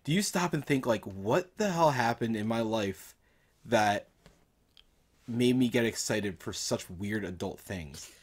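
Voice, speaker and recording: A man's voice: lowish voice, animated, a little slow, slightly rough. A man co-hosting a talk show in casual, rambling, hesitant chat. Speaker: co-host of a gaming talk show.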